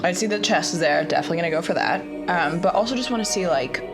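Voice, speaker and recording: A woman's voice: on the low side, fast talker. A woman talking casually as a tabletop roleplay player, thinking aloud as she describes what her character does. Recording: prominent music bed. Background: background music score.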